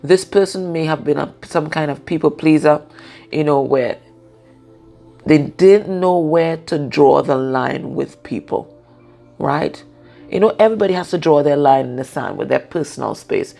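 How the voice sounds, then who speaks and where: A woman with a husky voice giving a casual, unscripted card reading, pausing and often checking in with the listener. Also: low voice, mellow, soft background music. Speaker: tarot and oracle card reader.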